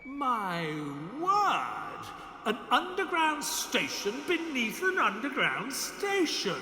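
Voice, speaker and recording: An actor playing an earnest, bewildered doctor, polite and old-fashioned, in an audio drama. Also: male voice, extremely high pitch, wildly swooping pitch, slow pace, bright, forceful tone, slight background noise, exaggerated voice. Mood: puzzled. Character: earnest, bewildered British doctor, gentleman narrator of adventures. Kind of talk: audio drama acting and scripted narration.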